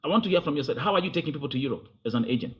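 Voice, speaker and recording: A man's voice: a little high, expressive, very fast, lo-fi sound. A man from Kenya hosting a live interview, pressing his guest hard and repeating himself as he mixes Swahili with English. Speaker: host.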